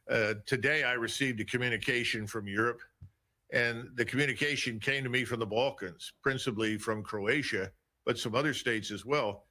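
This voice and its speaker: Low-voiced guy, bright edge, firm and deliberate, talking politics. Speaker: interview guest. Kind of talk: measured political analysis.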